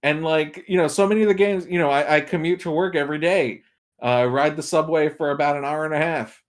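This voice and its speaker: A man's voice: a little high, steady tone, brisk. A man speaking casually and enthusiastically as a guest on a video game podcast.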